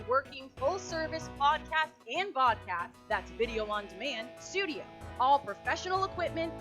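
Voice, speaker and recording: High-pitched female ad announcer over music. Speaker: advertisement announcer. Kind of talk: scripted promotional narration.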